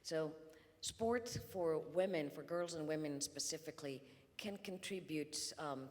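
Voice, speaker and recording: A woman from Greece speaks as a panelist at a conference, in a measured way with frequent ums and uhs. Her voice sits low. Long reverb from a large hall trails each phrase. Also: darkish tone.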